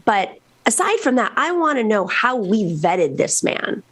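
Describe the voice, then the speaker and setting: Female, American, political panelist. Unscripted, halting, mid-range pitch. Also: sing-song, fairly bright tone, breathy edge.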